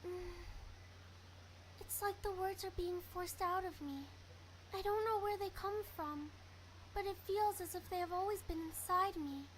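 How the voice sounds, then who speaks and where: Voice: very high voice, a little slow, fairly bright tone, a little hiss or hum, pitched above the performer's own voice, poor audio. A performer voicing a shy, hesitant, absent-minded girl in a visual novel. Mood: absent, wistful.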